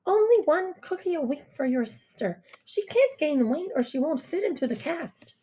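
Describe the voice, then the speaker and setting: A woman's voice: high voice, big pitch swings, normal speed, dark tone, pitched above the performer's own voice. A woman voicing a fretful, protective mother in an audiobook, exclaiming and chiding. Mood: scolding.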